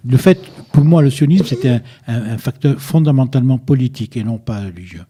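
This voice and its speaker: A man's voice: mid-range voice, fairly quick, warm tone, slight background noise. An older man from Egypt, a radio interview guest who repeats words as he searches for them and insists firmly on his points. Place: radio studio. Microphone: studio microphone, at times too far away.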